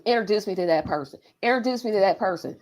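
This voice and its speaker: A woman's voice: medium pitch, fast, a little mellow. A woman in her forties hosting a podcast interview, talking in a playful, self-teasing way. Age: 40s. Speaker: podcast host. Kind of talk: casual interview chat.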